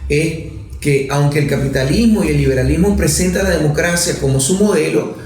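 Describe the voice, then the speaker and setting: A man's voice: on the high side, a little fast, a little mellow. A man with a Venezuelan accent, a lawmaker being interviewed, explaining his ideas in a professorial, analytical way and using everyday examples. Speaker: lawmaker guest. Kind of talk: interview conversation. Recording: very echoey room.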